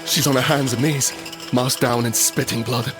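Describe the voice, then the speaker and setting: An actor playing a frightened survivor in an audio drama, narrating urgently in the first person and present tense in fragmented phrases. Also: male voice, mid-range voice, steady tone, normal speed, loud music behind the voice, natural voice. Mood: panicked. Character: desperate, frightened male survivor of a monster apocalypse. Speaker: narrator and lead character.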